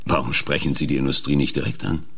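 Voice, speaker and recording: A man playing a brusque, hurried political schemer in a German radio play, curt and commanding. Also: extremely low pitch, steady tone, sharp tone, slightly rough, exaggerated voice. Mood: brisk, impatient.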